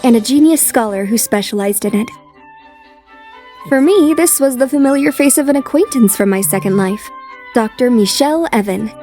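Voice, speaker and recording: Woman as a high-voiced, composed young noblewoman. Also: lively intonation, moderate pace, mellow, soft background music, lightly acted. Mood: reflective. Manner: polite, reflective. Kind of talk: anime dub acting with inner narration.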